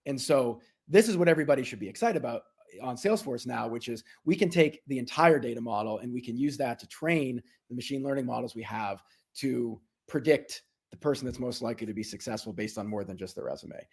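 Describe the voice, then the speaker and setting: A male executive with a mid-pitched, gravelly voice and a husky edge. He explains in an informal, conversational manner, as an interview guest would. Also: brisk, darkish tone. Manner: informal explainer, checks in with "right?".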